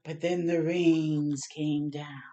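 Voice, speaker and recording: A woman reading a children's picture book aloud expressively, pausing to chat and ask young listeners questions. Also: deep voice, highs cut off. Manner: animated, asks the listeners questions.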